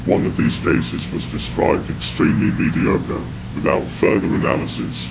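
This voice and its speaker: A man reading scripted horror narration in a grave, measured way, with a gravelly, whispery voice. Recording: dull, noisy lo-fi audio with rumble.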